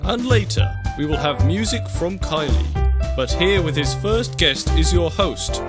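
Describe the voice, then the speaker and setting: A man's voice: fairly high pitch, animated, measured pace, loud music behind the voice. A man playing an upbeat chat-show announcer in an audio drama, giving grand showbiz introductions. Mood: showy.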